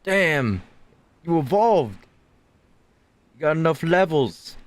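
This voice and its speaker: A man's voice: on the high side, sing-song, slow pace, slight background noise. A man giving casual gameplay commentary as a streamer, in short offhand reactions.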